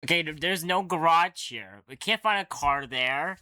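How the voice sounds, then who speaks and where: A man's voice: fairly high pitch, moderate pace, pushed and bright, a little hiss or hum. A man hosting a casual reaction video, exclaiming and swearing freely as he comments. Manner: profane, exclamatory. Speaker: video host and fan dubber.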